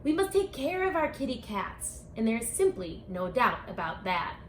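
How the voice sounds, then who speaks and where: Expressive higher-voiced woman reading to children. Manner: expressive, deliberate, directly addressing children. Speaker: children's book author and read-aloud presenter. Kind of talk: read-aloud of a rhyming picture book for children, with asides to young listeners. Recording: echoey room with faint background noise.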